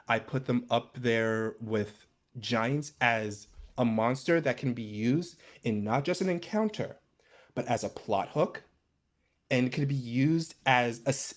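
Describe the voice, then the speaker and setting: An excitable, emphatic speaker in an informal, animated discussion about tabletop gaming. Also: mid-range voice. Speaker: podcast panelist.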